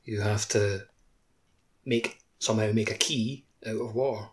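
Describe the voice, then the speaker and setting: Man, lowish pitch, casual podcast co-host, loose halting phrasing, conversational. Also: fairly even intonation, a little hiss or hum. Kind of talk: casual gaming podcast chat.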